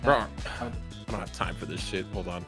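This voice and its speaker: A man's voice: deep voice, brisk, gravelly, over music. A man chatting with friends on voice chat during a game stream, swearing and joking, with an echo effect on his mic. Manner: loud, joking, swears freely. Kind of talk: casual voice chat banter.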